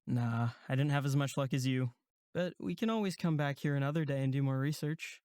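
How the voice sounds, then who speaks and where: A woman's voice: very deep voice, brisk, a little mellow, dry recording. A woman voicing a protective, dry-humored young male camp counselor in an audio drama, casual and reassuring. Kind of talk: horror audio drama acting.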